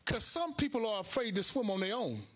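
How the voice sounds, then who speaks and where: A man's voice: high voice, sing-song, fairly quick. A middle-aged American man preaching a sermon, emphatic and colloquial, repeating phrases, speaking into a handheld microphone in a church. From Chicago and Houston, United States. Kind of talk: sermon to a congregation. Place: indoor church stage at a lectern.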